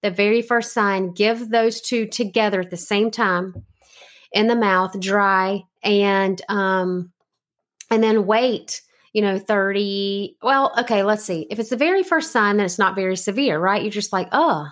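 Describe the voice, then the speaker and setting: A woman hosting a podcast, giving relaxed, instructive advice on home remedies with frequent fillers. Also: mid-range voice, unhurried. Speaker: homeopathy teacher and podcast host. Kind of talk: casual podcast chat.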